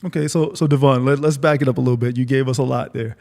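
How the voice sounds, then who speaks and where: A man's voice: medium pitch, steady tone, fast talker, gravelly, a little mellow. A man hosting a podcast in a casual, conversational interview style. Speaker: podcast host.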